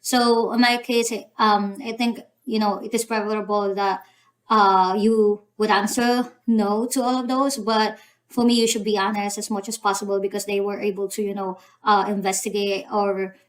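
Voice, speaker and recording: A woman's voice: medium pitch, level tone, normal speed, poor audio. A young woman with a Filipino accent, casually talking through an online form step by step, with many "um"s and "you know"s. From Philippines. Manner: hesitant, full of "um" and "you know" fillers. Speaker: channel host walking through a form. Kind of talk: casual screen-recorded tutorial.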